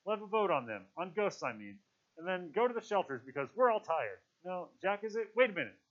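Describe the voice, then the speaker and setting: A man voicing an earnest boy leader in a storybook reading, halting and self-questioning but insistent about rules. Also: high-pitched, very animated, highs cut off, voice pushed up. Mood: flustered, weary. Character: earnest, wavering boy leader trying to keep order.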